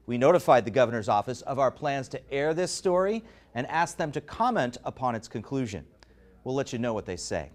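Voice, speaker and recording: A man's voice: medium pitch, dry recording. An American man reading scripted investigative news as a television reporter, with a serious, emphatic broadcast delivery.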